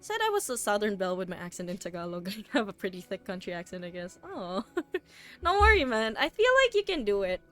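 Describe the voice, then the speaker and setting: A young woman chats on a stream. She rambles with many fillers and restarts, and her pitch sits in the middle of the range. Faint game music plays behind her. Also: big pitch swings, a little fast, a bit bright. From Philippines. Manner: chatty and rambling, with frequent fillers, laughs and restarts. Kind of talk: casual stream chat.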